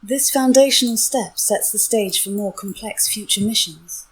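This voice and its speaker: Female AI assistant, breathy, medium pitch, formal and helpful explainer. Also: moderate pace, rough recording. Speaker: AI voice assistant companion. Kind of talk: clear explanatory briefing, scripted-sounding.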